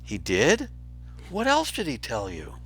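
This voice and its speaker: A young male lion from a storybook, acted out by a man reading aloud. He is earnest yet defensive, a guilty runaway prince, speaking with lively movement in pitch. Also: measured pace, husky, soft background music, exaggerated voice. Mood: evasive.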